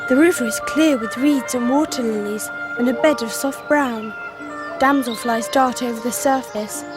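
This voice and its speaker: A woman's voice: fairly high pitch, moderate pace, loud music behind the voice, natural voice. A female actor playing an earnest, defiant, well-bred Victorian girl of twelve with an English accent in a radio drama. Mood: dreamy. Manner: polite, precise, earnest. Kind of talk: 1990s British radio drama acting.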